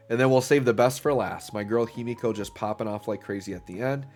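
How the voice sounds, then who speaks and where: A man's voice: mid-range voice, moderate pace, faint music behind. Stuffy-voiced man narrating gameplay. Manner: upbeat, filler-heavy, talks while playing. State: slightly stuffy from an illness. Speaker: gaming channel host. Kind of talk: casual gameplay commentary.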